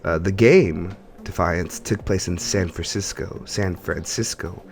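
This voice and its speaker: Low-voiced man chatting on a gaming podcast. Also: unhurried, creaky. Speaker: podcast host. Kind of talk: casual gaming podcast chat.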